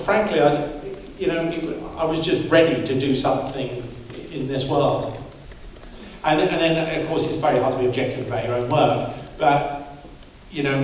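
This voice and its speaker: A man's voice: medium pitch, recorded from a distance, slight background noise. Wry man answering with an echo. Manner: wry, laughs easily, loose and rambling. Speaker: film director. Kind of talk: press conference answer. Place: press conference room. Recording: echoey room, mic from afar.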